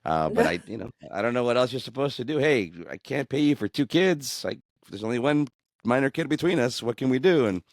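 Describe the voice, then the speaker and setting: A man's voice: medium pitch, a little fast, no echo, studio quality. A middle-aged man telling his divorce story in a candid interview, earnest and restarting often, rising with indignation. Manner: earnest, animated when indignant, many restarts.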